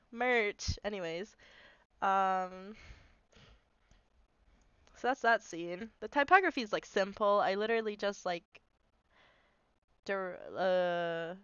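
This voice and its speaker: Slow, nasal young woman with a cold. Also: mid-range voice, fairly bright tone, clear, resonant tone, dry recording, dull audio. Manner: rambling, filler-heavy, self-deprecating. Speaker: animator explaining her video. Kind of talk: casual screen-recorded walkthrough.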